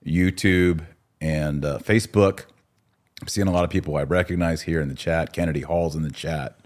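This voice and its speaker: Deep-voiced man hosting a casual podcast. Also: fairly even intonation, normal speed, a little mellow. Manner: jokey, informal, slangy. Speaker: podcast host. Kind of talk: casual podcast chat with live chat questions. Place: podcast studio.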